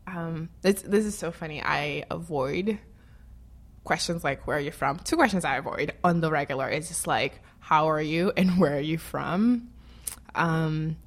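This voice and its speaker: A woman's voice: low voice, a little slow. A woman from Haiti speaking casually in an interview, rambling with lots of fillers and frequent laughter.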